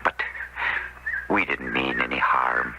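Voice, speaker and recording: An actor playing a remorseful, roguishly earnest gambler and card sharp in a radio drama. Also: male voice, very deep voice, steady tone, slow pace. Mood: earnest, defensive. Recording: noisy 1970s radio drama recording.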